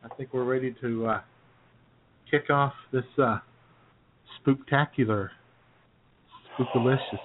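A man's voice: medium pitch, steady tone, a little slow, long pauses, phone-quality sound, a little hiss or hum. A man co-hosting a comedy radio show with casual, joking chat. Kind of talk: casual comic banter.

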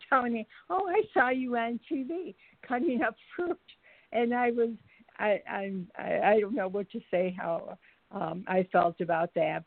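An older woman telling stories casually as a podcast guest, speaking haltingly and searching for her words. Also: mid-range voice, dry recording. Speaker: podcast guest, mother of the host. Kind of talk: casual anecdotal storytelling. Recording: thin phone-like line.

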